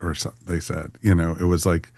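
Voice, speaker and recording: A man with a very deep voice chats as a podcast panel host. The sound is dry and close, and his delivery is casual and conversational, as in a relaxed group review. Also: fairly quick, no room sound. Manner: conversational, punctuated with "you know". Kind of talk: casual discussion, reviewing a story.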